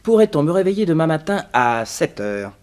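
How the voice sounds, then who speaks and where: Trapped detective in old 60s french radio drama, swingy ironic delivery, unflappable. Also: male voice, on the high side, very animated, normal speed, a little mellow. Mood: mock courteous. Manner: theatrical, ironic, muttering to himself. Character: trapped male detective, wry, resourceful, unflappable prisoner. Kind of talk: 1960s French radio serial acting.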